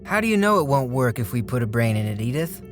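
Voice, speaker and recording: Low-voiced, stubborn bedridden dreamer. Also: female voice, big pitch swings, fast, over music. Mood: stubborn, earnest. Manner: earnest, insistent. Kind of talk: audio drama dialogue.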